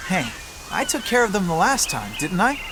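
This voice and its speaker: High-pitched cocky boy. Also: sing-song, moderate pace, background noise. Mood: casual reassurance. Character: cocky, plucky teenage boy who steals bread. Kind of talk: animated video game cutscene acting.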